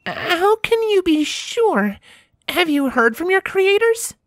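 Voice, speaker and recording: A man's voice: very high-pitched, sing-song, slow pace, fairly bright tone, no echo, pitched above the performer's own voice, heavily acted. A man voicing an anxious, caring young female unicorn. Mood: concerned.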